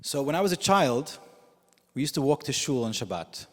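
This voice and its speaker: A man whose first language is Yiddish, preaching as a rabbi in a measured storytelling way with frequent pauses. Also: mid-range voice, big pitch swings. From United States. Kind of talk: sermon. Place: synagogue sanctuary with long reverb. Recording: reverberant hall.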